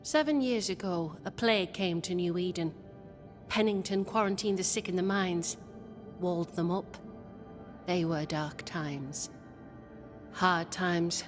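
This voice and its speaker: An actress playing a proud, devoted wife who leads settlers in a video game, speaking formally and solemnly. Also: female voice, lowish voice, a little slow, long pauses, soft background music, close to an everyday voice. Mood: somber. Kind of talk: video game cutscene acting. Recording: long hall-like reverb.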